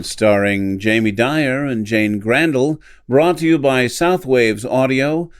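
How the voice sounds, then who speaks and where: A man reading scripted announcements and narration for an audio drama. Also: medium pitch.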